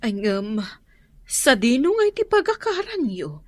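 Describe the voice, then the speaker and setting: A woman playing a kindly, concerned middle-aged mother and landlady in a radio drama, with a Filipino Ilocano accent and a husky voice. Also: on the high side, big pitch swings, measured pace, darkish tone, no room sound. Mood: apologetic, concerned.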